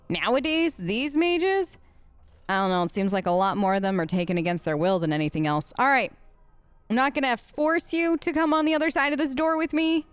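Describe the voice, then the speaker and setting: A woman's voice: mid-range voice, clean tone, no room sound. A woman doing casual, improvised role-play commentary as host of a game let's play, informal and outspoken.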